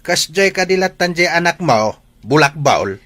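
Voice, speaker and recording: A man acts a grumbling old village father with an Ilocano accent in an audio drama. His voice is bright and forceful and swings widely in pitch, full of exasperation. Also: big pitch swings, normal speed, no echo. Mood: exasperated. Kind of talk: amateur Ilocano radio-style comedy drama acting.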